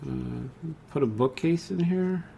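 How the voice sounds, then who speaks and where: A man narrating a step-by-step software tutorial in an informal way, thinking aloud as he demonstrates. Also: fairly high pitch, a little slow, creaky, slight background noise.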